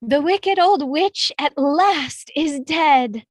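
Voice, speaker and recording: A woman playing a gentle, regal good witch in a read-aloud musical film script, with measured storybook sweetness. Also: high voice, dry recording, clean studio sound. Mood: proclaiming. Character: gentle, regal good witch coaxing timid little folk.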